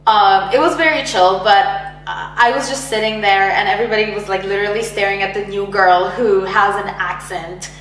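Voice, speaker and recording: A woman's voice: medium pitch, fairly even intonation, brisk, hardly any pauses, a bit bright, soft background music. A young woman from Egypt talking casually in an interview, with light laughs and lots of filler words. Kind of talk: casual oral history interview. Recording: roomy echo, distant mic, faint hum.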